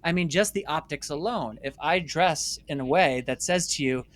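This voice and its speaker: Bright-voiced, emphatic man in interview. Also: a little high, normal speed. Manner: emphatic, repeats phrases for stress. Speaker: interview guest, filmmaker and former stage actor. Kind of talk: conversational storytelling interview.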